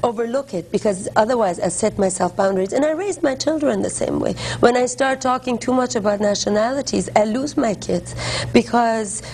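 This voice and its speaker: A woman raised in Germany speaking in a casual talk-show conversation, thoughtful and unhurried, with frequent fillers and restarts. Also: fairly high pitch, darkish tone, poor audio. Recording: faint background noise.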